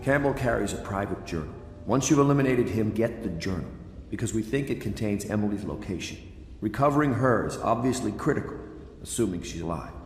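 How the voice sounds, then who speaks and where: An actor playing a resolute, grave leader of conspirators in a video game, deliberate and earnest as he briefs. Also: fairly low pitch, lively intonation, slight background noise, natural voice. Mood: firm, urging. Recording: echoey hall with long reverb.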